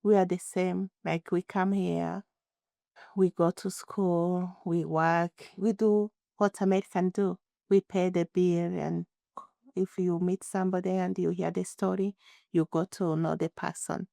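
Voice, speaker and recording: A woman in her thirties, born in Rwanda, earnestly telling her own story in plain, halting phrasing. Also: on the low side, little pitch movement, gravelly, mellow, no echo, clean studio sound. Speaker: interviewee. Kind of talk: personal storytelling.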